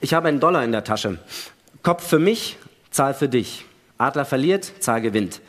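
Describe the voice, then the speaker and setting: Male actor as distrustful space crewman, husky voice, deliberate argumentative delivery, radio drama read in a reverberant hall. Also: medium pitch, animated, natural voice. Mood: grim, calculating. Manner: argumentative, methodical, deliberate reasoning. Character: male astronaut, suspicious, coldly calculating, accusing his crewmate. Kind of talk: live dramatic reading of a sci-fi radio play. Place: large hall, stage reading. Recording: echoey hall, long reverb.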